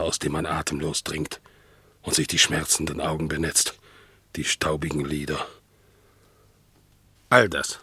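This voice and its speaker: An actor playing a world-weary, amorous bulldog in a theatrical, declamatory radio play style. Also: male voice, very deep voice, pushed and bright, breathy edge, dry recording, full character voice. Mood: weary, wistful. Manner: declamatory, dramatic storytelling.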